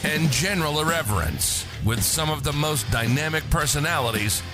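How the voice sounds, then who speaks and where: A man reading a scripted podcast introduction as an announcer, with music playing loudly underneath. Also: fairly high pitch, expressive, moderate pace. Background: loud music.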